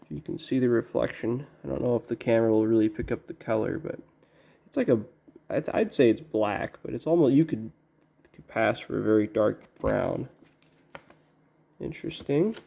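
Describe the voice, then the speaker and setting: A man giving casual, halting unboxing commentary as a collector, musing to himself in a low-key way. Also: creaky, dark tone. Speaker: record collector presenting an unboxing.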